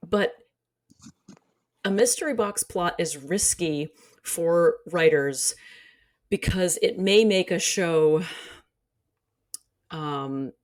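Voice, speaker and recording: A woman's voice: on the low side, slow, pauses often. A woman giving conversational, analytical commentary in an articulate, explanatory way. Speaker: guest scholar and commentator.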